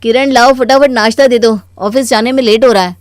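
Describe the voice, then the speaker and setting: A woman's voice: on the high side, steady tone, brisk, no room sound, clean studio sound, natural voice. A woman voicing a dutiful young married son in a Hindi cartoon story, earnest and placating. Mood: hurried.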